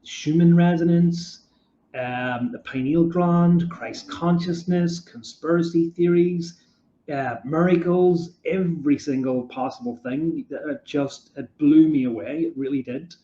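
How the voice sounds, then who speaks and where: A man hosts a podcast interview, his voice mellow and relaxed. He rambles with enthusiasm, piling up fillers as he builds toward his questions. The sound is dull and roomy, as if recorded in an echoey room. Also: on the high side, unhurried, a little hiss or hum. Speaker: podcast host.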